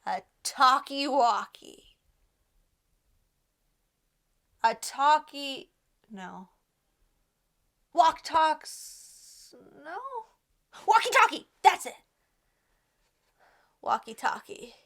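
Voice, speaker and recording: High voiced young woman, nervy n self-deprecating, rambly, stammers a bit, wry web comedy vibe. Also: big pitch swings, extremely slow, pauses often, fairly bright tone, heavily acted. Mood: tipsy, dramatic. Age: young adult. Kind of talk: naturalistic comedy web series acting.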